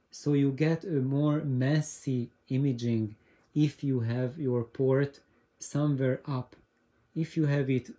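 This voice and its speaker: A man's voice: mid-range voice, mellow. A man hosting an informal explainer, speaking in a hesitant way and often repeating words as he goes. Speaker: host of an audio hobbyist channel. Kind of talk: informal explainer talk to camera.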